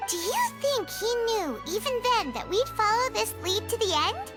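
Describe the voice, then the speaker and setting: A tiny floating video game sidekick, with an extremely high, childlike squeak that swings around in pitch. The little creature is curious and eager, thinking aloud in bubbly exclamations over music. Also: female voice, very animated, normal speed. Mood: curious, pondering.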